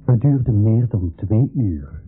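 A man's voice: lowish voice, animated, takes it slowly, breathy edge, narrow, tinny audio, full character voice. An actor playing a young, anxious male adventurer who also narrates a 1980s school radio drama. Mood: earnest, grave. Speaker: narrator and character in a radio play. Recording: band-limited radio recording, faint music.

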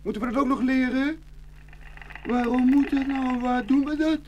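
A woman's voice: high-pitched, moderate pace, a little mellow, lo-fi sound, slight background noise, lightly acted. A woman playing a small young stork in a children's fairy-tale radio play, childlike, plaintive and full of questions. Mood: curious. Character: small young stork chick, anxious, eager, whiny questioner.

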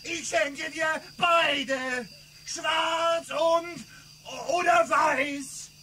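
An actress voicing a cruel mother figure who makes harsh, shouted proclamations. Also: high-pitched, steady tone, drawn-out words, a little hiss or hum, exaggerated voice. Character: cruel mother figure handing out gifts of punishment.

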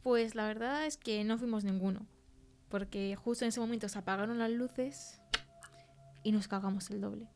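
A woman's voice: mid-range voice, fairly even intonation, normal speed, darkish tone, natural voice. An actress playing a shaken young film-crew woman telling an eerie story in colloquial Castilian Spanish, in a chatty, teasing way. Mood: uneasy, candid. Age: young adult. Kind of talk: conversational interview in an audio fiction podcast.

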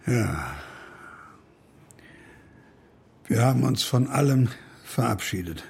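A man's voice: medium pitch, animated, normal speed, gravelly, darkish tone, slightly rough, exaggerated voice. An actor playing a cantankerous, cynical middle-aged male professor in a radio drama, pompous and impatient. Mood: lecturing.

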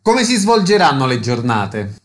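A man's voice: mid-range voice, big pitch swings, unhurried. A man hosting a radio show, putting questions to guests and announcing cultural programmes.

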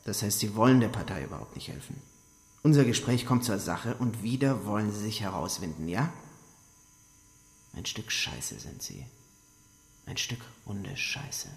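An actor in a German radio drama playing a menacing Soviet secret police interrogator, formal and insistent. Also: male voice, lowish voice, expressive, moderate pace, creaky, pauses often. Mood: impatient, contemptuous. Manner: insistent, coaxing, formal bureaucratic address. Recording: long echoing hall reverb.

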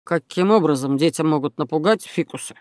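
A woman's voice: sits low, a little fast, darkish tone, no echo, studio quality, natural voice. A woman voicing a stern, annoyed male police officer in a children's storybook, sounding incredulous. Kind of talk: children's audio storybook character voice.

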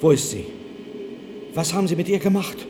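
A man's voice: fairly high pitch, lively intonation, brisk, natural voice. A man acting in a German radio play as a proud, indignant inventor-engineer with an emphatic, accusatory delivery. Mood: alarmed. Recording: noisy archival radio drama recording.

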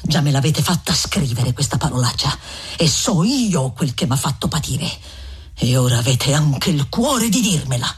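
A woman's voice: low voice, sing-song, moderate pace, slightly rough, voice pushed down, full character voice. A woman voicing a stubborn, hurt young peasant man. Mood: hurt, indignant. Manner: pleading, indignant and insistent. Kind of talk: audiobook reading of a classic novel.

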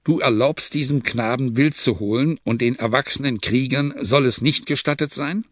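A man's voice: mid-range voice, normal speed, a bit bright, no room sound, pitched above the performer's own voice, natural voice. Man voicing a proud, defiant sheikh. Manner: defiant, self-assured, argumentative. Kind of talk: dramatized audiobook reading.

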